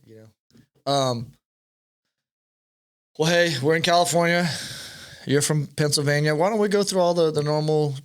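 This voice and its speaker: Smooth-voiced man hosting a podcast. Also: on the high side, moderate pace, pauses often. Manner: joking, ribbing his guest. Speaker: podcast host. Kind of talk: casual podcast chat. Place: podcast studio in California.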